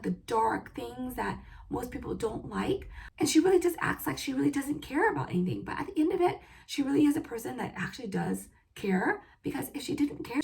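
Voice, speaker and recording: A woman talking through a solo TV review with her voice going hoarse from a cold. Her pitch sits a bit high, and her tone is gravelly with plenty of fry. She talks in a casual, opinionated way, filling gaps with "um" and "like". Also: darkish tone.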